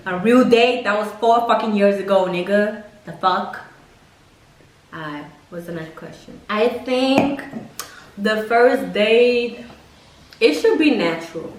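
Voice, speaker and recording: A woman's voice: medium pitch, takes it slowly. Young woman storyteller in an echoey room. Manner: blunt, expressive, heavy swearing and slang. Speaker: vlogger telling personal dating stories. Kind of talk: casual storytelling to camera. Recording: echoey room, mic at a distance.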